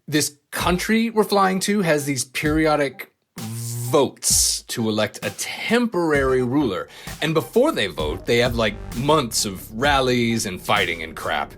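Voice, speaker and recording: Male actor as smug alien villain, highly animated pitch, chatty, slangy, excitable, sci-fi audio comedy, music underneath. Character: cocky, gleefully condescending alien villain. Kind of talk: audio comedy drama dialogue.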